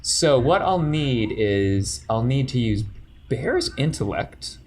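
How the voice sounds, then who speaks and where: A man's voice: medium pitch, takes it slowly. A man narrating and explaining rolls as game master of a tabletop game. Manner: relaxed, explaining rules and scenes.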